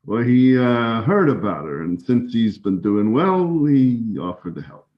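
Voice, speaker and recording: An actor playing a halting, evasive man in his forties in a dramatic 1930s scene. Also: mid-range voice, big pitch swings. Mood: hesitant, evasive. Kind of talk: dramatic two-person scene reading. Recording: dull lo-fi audio.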